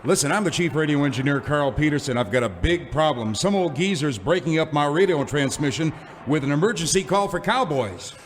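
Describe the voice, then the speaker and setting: An actor playing a worried, businesslike radio engineer in a parody of an old radio serial. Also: male voice, fairly high pitch, expressive, brisk, big-room sound, slight background noise, close to an everyday voice. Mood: urgent.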